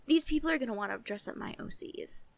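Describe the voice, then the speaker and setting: A young woman with a fairly high voice, close and dry in the recording. She co-hosts a casual chat, talking in an emphatic, animated manner with plenty of loose swearing. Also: fast, creaky, dry recording. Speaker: podcast co-host.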